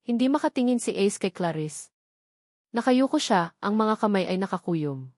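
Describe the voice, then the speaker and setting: A woman's voice: medium pitch, expressive, normal speed, darkish tone, no room sound, studio quality. A woman reads a dramatic first-person story as a scripted narrator.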